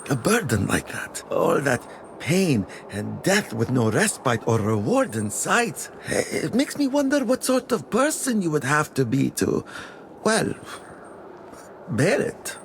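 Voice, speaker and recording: A man playing a gentle, curious character who muses softly and hesitantly in a dark fantasy game cutscene. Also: fairly high pitch, sing-song, takes it slowly, vocal fry, a little hiss or hum, full character voice. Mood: wistful, musing.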